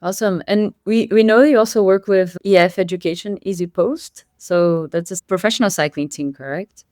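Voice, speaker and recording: A woman's voice: fairly low pitch, normal speed, a little mellow, no echo, clean studio sound. A woman hosting a podcast, asking friendly, upbeat questions. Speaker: podcast host.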